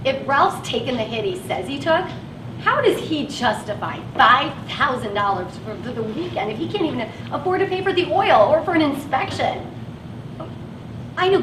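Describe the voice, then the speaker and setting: An actress on stage playing a frustrated working woman who drives a van and feels stuck in her job. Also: sits high. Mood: indignant. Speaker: actress in a one-act play. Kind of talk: live stage play acting. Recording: echoey, distant, noisy live stage recording.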